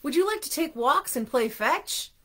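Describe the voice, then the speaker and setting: Kind, earnest man from a picture book, performed by a woman; raised pitch, swooping intonation. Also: very animated, a little fast, sharp tone, exaggerated voice. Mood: excited. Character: kindly, apologetic man seeking a pet companion. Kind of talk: children's storybook read-aloud.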